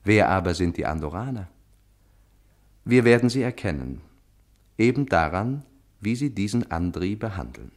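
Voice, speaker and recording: A man's voice: lowish voice, sing-song, moderate pace, long pauses. A man giving a scripted, declamatory introduction to a play as its announcer.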